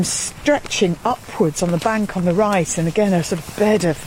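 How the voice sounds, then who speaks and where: A woman's voice: lowish voice, dark tone, low-quality recording. A British woman presenting a walking radio programme, describing the surroundings and interviewing as she goes. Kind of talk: descriptive on-location narration and interviewing. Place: outdoors on a wooded gorge path beside a busy road. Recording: outdoor recording with clear traffic noise. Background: road traffic and outdoor ambience.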